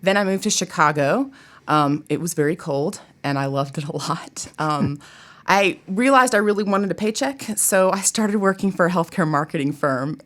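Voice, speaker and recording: A middle-aged woman from Tennessee chatting casually and expressively as an interview guest, with informal asides. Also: fairly low pitch. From Tennessee, United States. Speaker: guest, theater professor. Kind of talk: casual chat.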